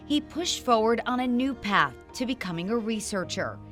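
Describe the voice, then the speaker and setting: A woman's voice: fairly high pitch, animated, moderate pace, fairly bright tone, faint music behind. A woman working as a TV news reporter, reading a scripted feature narration.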